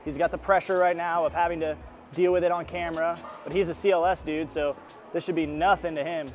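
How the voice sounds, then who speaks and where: A man in his thirties teaching strangers first aid in an indoor shopping mall, energetic and coaching, checking in with "right?" as he goes. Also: on the high side, quick pace, faint music behind. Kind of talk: street demonstration teaching.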